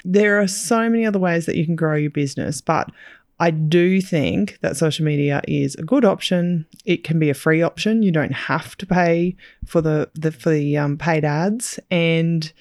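Gravelly woman, lively chat. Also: deep voice, moderate pace, no echo, studio quality. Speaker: podcast co-host, business owner. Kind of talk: casual podcast chat.

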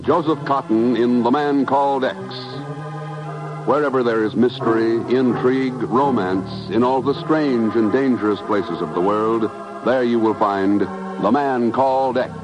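A man's voice: mid-range voice, unhurried. A man with an American accent doing formal, emphatic scripted announcing in the manner of 1950s radio. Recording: muffled vintage radio, music behind.

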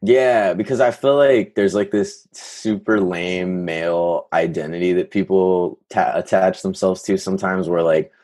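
Man, 20s, extremely deep voice, rambling guest full of fillers. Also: unhurried, few pauses, a little mellow. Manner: rambling, many "like" fillers, laughs. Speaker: podcast guest. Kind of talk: casual chat.

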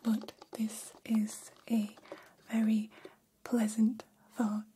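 A woman voicing a gentle, curious female alien in an ASMR roleplay, whispering softly in formal, halting, literal phrases. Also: on the high side, completely flat delivery, slight background noise. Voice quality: soft, breathy whisper. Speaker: ASMR performer.

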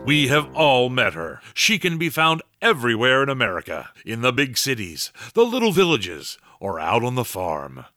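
A man's voice: medium pitch, wildly swooping pitch, moderate pace, hardly any pauses, bright, forceful tone, soft background music. An actor playing a grandiose man announcing and narrating in a dramatic 1930s radio style. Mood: lofty salesmanship. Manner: dramatic, emphatic. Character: grandiose male old-time radio announcer.

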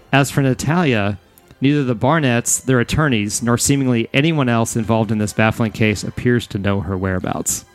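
A man with a medium-pitched voice hosts a podcast, reading and retelling news items casually. Faint music sits behind him. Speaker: podcast host. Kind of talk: casual news-story banter.

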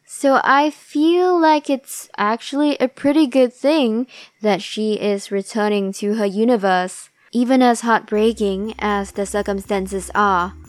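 A woman hosting a podcast, recapping a drama in a casual, thoughtful way, a little raspy and tired late at night. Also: mid-range voice, steady tone, unhurried, dry recording. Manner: thoughtful, musing. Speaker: podcast host.

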